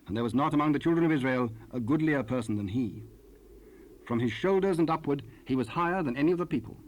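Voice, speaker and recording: A man narrating scripture from a script in a formal, measured way. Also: medium pitch, animated, darkish tone. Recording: hissy 1970s cassette rip.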